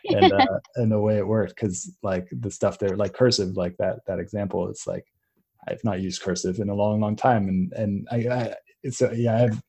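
A young man with a somewhat low voice hosts a relaxed podcast conversation. He drifts from thought to thought, restarting sentences and circling back as ideas come to him. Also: moderate pace, husky. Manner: rambling, many restarts and tangents. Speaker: podcast host. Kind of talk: casual conversational interview.

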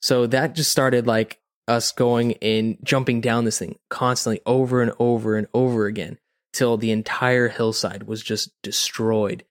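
A man's voice: medium pitch, flat delivery, a little slow, no room sound, studio quality. A man co-hosting a podcast, telling a story in casual chat, rambling informally with plenty of "like" and "you know". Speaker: podcast co-host.

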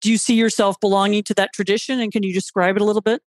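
A man's voice: high voice, level tone, racing, no echo, studio quality. A man interviewing a guest, asking long, thoughtful questions with restarts and repeated words.

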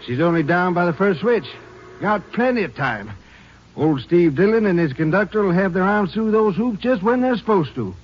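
An actor playing a chatty small-town railroad station master with a folksy, unhurried drawl, in 1950s radio drama style. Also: male voice, sits high, expressive, a little hiss or hum. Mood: easygoing. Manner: rambling, drawn-out, unhurried. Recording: dull lo-fi old radio broadcast.

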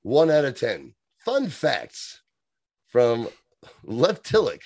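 A man's voice: medium pitch, huge pitch swings, slow pace, a little mellow, dry recording. A man co-hosting a podcast, chatting casually and laughing often as he reads aloud. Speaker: podcast co-host. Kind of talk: casual chat.

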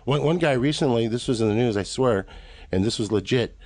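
A man's voice: mid-range voice, a little fast, darkish tone, dry recording. A man in his late forties from Los Angeles with an American accent, telling casual, rambling, joking stories as a podcast guest. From Los Angeles, USA. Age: late 40s. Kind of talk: casual conversational storytelling.

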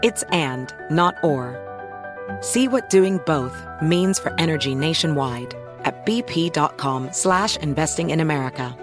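A female announcer reads a corporate commercial script. Her voice is notably deep for a woman, with clear music playing underneath. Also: expressive, unhurried. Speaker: advertisement announcer.

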